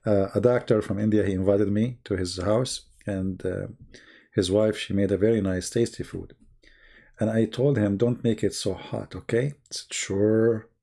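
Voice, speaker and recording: Low, mellow man, non-native English speaker. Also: fairly even intonation, normal speed, gravelly. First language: not English. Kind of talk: casual live stream chat and storytelling.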